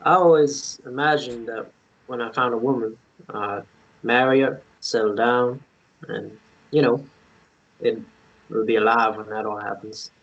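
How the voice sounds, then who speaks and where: A man playing a gentle, devoted romantic in a naturalistic table read, earnest and halting. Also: mid-range voice, fairly even intonation. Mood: shy, wistful. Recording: slightly dull lo-fi recording.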